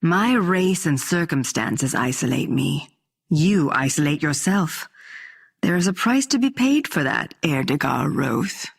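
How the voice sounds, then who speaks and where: An actress playing a poised, probing dark elf priestess in a video game, speaking in a measured, questioning manner. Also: female voice, low voice, animated, vocal fry, breathy edge, heavily acted. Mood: cool, probing.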